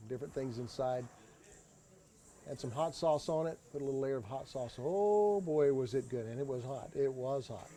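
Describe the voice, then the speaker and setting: A man giving an unscripted tour voice-over, explaining sights in a halting way and often repeating his phrases. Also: on the high side, mellow. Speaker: tour narrator. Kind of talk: unscripted travel video voice-over.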